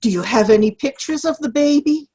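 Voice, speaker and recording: A woman playing a mild, peacemaking older friend in an amateur comedy play reading, gentle and family-minded. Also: sits high, expressive, fairly quick. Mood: pleasant. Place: online video-call play reading.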